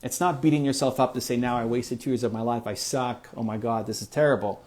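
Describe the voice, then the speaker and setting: A man's voice: mid-range voice, fast talker. Upbeat man in his forties coaching viewers. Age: 40s. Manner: energetic, encouraging, conversational with fillers. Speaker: motivational livestream host. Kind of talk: live Q&A answering viewer comments.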